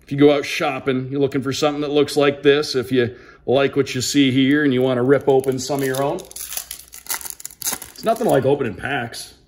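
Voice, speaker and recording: An American man with a mid-pitched voice gives casual commentary while unboxing. He sounds like a sports broadcaster, calling out names crisply in a play-by-play manner. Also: fairly even intonation, normal speed. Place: indoors at a tabletop, small room.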